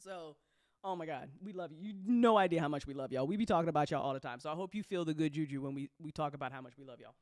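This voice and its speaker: A woman's voice: sits low, fast talker, a little mellow, no room sound, studio quality. A woman hosting a casual, chatty recap for her community, effusive and freely sweary, often repeating phrases for emphasis. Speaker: host, audio drama creator.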